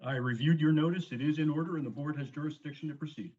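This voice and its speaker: A man handling procedure at a zoning hearing, brisk and matter-of-fact, swearing in witnesses. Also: fairly high pitch, a little mellow. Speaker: board attorney administering the oath. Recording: dull lo-fi audio from far off.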